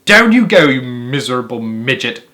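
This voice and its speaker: A man's voice: medium pitch, normal speed, sharp tone, close to an everyday voice. A man reading aloud and voicing a tall, skinny, cruel male farmer. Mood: raging.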